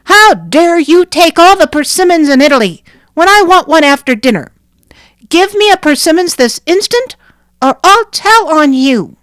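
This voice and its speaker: A woman voicing a fierce, bossy little girl in a storybook reading, with shrill, demanding lines. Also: big pitch swings, slow pace, a bit bright, no room sound, full character voice.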